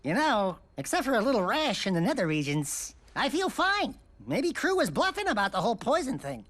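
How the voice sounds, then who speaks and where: A woman's voice: on the high side, very animated, fairly quick, fairly bright tone. A woman voicing a small, cocky, cowardly animal sidekick in a video game, brash and whiny with wisecracks. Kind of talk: cartoonish video game acting.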